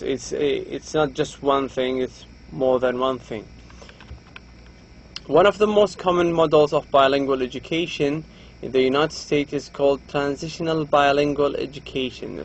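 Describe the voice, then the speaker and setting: A man's voice: fairly high pitch, slight background noise. Saudi man lecturing, slightly dull recording. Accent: Saudi Arabic. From Saudi Arabia. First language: Arabic. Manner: reads a textbook aloud and explains with frequent restarts. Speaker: university English lecturer.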